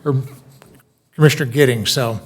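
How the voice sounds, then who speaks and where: A man's voice: medium pitch, steady tone, moderate pace, husky. A man chairing a city commission meeting who is losing his voice, talking in an informal, chatty way. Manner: informal, chatty, self-deprecating. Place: city council chambers.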